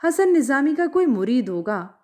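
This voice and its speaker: A suspicious male devotee in a story reading, acted out by a woman. The voice is high and very animated. He questions and gossips in a brusque, sneering way. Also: moderate pace. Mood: suspicious, scheming.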